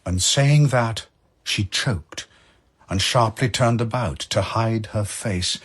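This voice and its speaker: A man narrating an old verse tale as scripted drama, solemn and deliberate. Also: lowish voice, husky.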